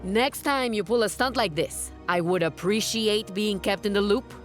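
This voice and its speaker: An actress playing a blunt, skeptical field officer in a video game, speaking in a businesslike, dry way. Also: female voice, medium pitch, normal speed, soft background music, natural voice. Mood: dry, pointed. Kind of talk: scripted video game acting.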